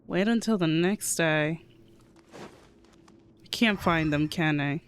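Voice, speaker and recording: Slow-paced, lowish-voiced woman streamer. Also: slight background noise. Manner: murmured short interjections, musing aloud. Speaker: streamer reacting to a game. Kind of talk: casual live reaction commentary. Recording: faint game music behind voice. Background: game dialogue and music.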